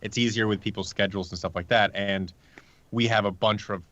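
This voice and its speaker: Low-voiced man explaining, dry muffled recording. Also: steady tone, brisk, fairly bright tone, no room sound. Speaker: sound designer and producer, interview guest. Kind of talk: casual interview chat.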